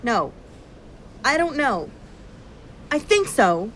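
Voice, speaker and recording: A woman's voice: fairly high pitch, very animated, slow pace, a bit bright, slight background noise, full character voice, rough recording. A woman acting in an audio drama as an anxious, apologetic royal who gives orders in a hesitant, earnest way. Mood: flustered.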